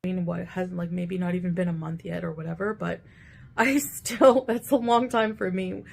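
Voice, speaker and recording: A woman's voice: lowish voice, steady tone, normal speed, creaky. A woman talking to her camera in a defensive, self-justifying way, played back in a reaction stream. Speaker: video creator.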